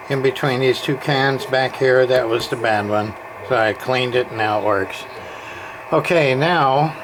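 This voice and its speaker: A man giving an informal hands-on repair walkthrough in a rambling way, muttering to himself as he goes. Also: medium pitch. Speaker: radio repair hobbyist. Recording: clear background noise, amateur workbench video.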